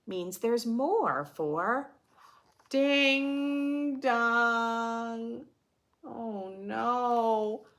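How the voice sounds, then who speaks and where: Animated woman reading a storybook aloud, unhurried. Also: fairly high pitch. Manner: dramatic, playful, asks the listener questions. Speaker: children's read-aloud teacher. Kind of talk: expressive storybook read-aloud with teaching asides.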